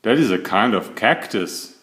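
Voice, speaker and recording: Male children's story reader. Unhurried, very lively intonation, roomy echo. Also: fairly low pitch. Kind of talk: expressive read-aloud storytelling.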